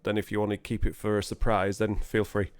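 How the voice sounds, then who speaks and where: A man's voice: on the low side, monotone, brisk, clean tone. Man running a tabletop game. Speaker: game master.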